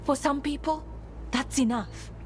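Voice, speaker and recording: A woman's voice: sits high, measured pace, a little mellow, a little hiss or hum. A woman playing a blunt, resolute and knowledgeable elven scout in a fantasy game, with a matter-of-fact delivery. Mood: firm, explanatory.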